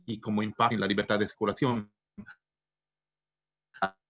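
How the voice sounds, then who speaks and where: Man with as on a dull lo-fi video call, lowish voice, lots of ems and uhs. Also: little pitch movement, fairly bright tone. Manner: halting, many fillers. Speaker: panelist. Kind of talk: online seminar talk.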